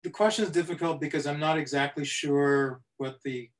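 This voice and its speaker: Measured middle-aged man actor, slightly high voice. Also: fairly even intonation. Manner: measured, hesitant, many restarts. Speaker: actor on a panel. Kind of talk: thoughtful panel answer.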